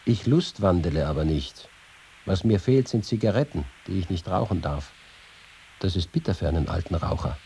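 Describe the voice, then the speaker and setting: A man's voice: low-pitched, lively intonation, moderate pace, mellow, slight background noise. An actor playing an aging, wry and spirited roving reporter, reading his writings expressively in a radio feature. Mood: wry, rueful. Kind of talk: acted reading of letters and reportage.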